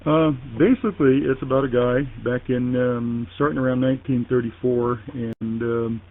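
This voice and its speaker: A man in a casual two-way radio chat, speaking haltingly and deliberately with frequent pauses. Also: mid-range voice, fairly even intonation. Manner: halting, deliberate, pausing often. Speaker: ham radio operator in a contact. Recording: tinny phone-like internet radio link.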